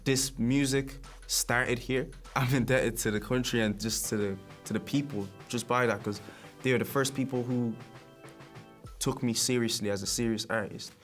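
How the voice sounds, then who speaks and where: A young man from Nigeria, a rapper speaking in a documentary interview in a relaxed, reflective way, often chuckling. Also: medium pitch, normal speed, soft background music.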